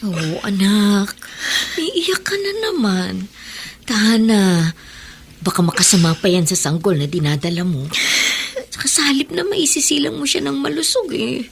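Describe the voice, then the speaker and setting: A woman's voice: medium pitch, animated, normal speed, gravelly, a little hiss or hum. An actress playing a middle-aged mother, firm, nurturing and earnest, in a radio drama. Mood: consoling. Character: loving, firm, consoling widowed mother. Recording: music under the voice.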